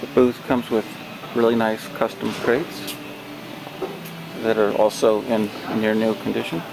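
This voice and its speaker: A man walking through a large warehouse with a handheld camera, describing a used trade show booth for sale in a plain, unhurried way with many pauses. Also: on the low side, flat delivery, creaky. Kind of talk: walkthrough tour. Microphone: handheld camera held by the speaker. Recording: noisy warehouse camera audio.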